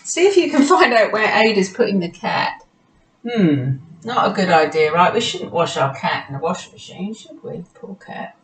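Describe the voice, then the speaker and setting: Animated woman reading a picture book aloud. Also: mid-range voice, moderate pace, low-quality recording. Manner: asks the listening children questions. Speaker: teacher reading aloud to children. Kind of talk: expressive picture-book read-aloud with asides.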